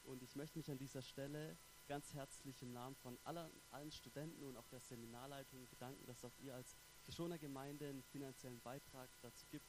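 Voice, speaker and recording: Young man, light Swabian accent, student preacher, chatty and warm, mid-pitched, faint hum in the background. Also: fairly even intonation, a little fast, few pauses. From Stuttgart, Germany. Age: young adult. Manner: friendly, chatty, frequent "genau" and "ähm" fillers. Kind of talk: church sermon with personal introduction.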